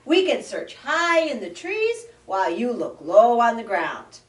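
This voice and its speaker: A woman's voice: fairly high pitch, very animated, a little slow, voice pushed up. A woman voicing a flock of eager, chirpy catbirds in a storybook reading. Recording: roomy, distant mic.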